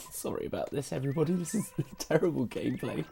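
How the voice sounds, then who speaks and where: Husky, low-voiced woman live-streaming games. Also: moderate pace, mellow, faint music behind. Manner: self-deprecating, apologetic, giggly. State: recovering from sickness. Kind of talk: casual live-stream gaming chat.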